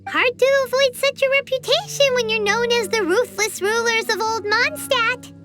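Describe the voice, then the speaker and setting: A woman voicing a tiny, chatty female companion sprite in a game, who flusters easily and speaks of herself in the third person. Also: moderate pace. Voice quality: very high, squeaky. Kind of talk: game voice acting. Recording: game audio with loud music behind.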